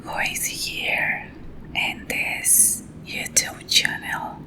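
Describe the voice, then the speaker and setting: A young woman from Colombia with a Colombian Spanish accent, a native Spanish speaker, reading a story in soft, intimate ASMR whispers into a close microphone. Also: very slow. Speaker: ASMR creator.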